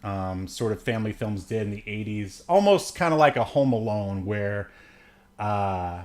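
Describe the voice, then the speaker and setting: A man's voice: fairly low pitch, lively intonation, moderate pace, a little mellow. A man co-hosting a podcast, chatting casually about films in a rambling, joking way. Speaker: podcast co-host. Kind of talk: casual movie review chat.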